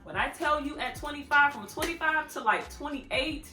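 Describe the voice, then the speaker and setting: Woman, 30s, bright voice, chatty, playful, laughs a lot, echoey room, faint music behind. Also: high voice, moderate pace. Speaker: vlogger, channel host. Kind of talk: casual advice talk to camera.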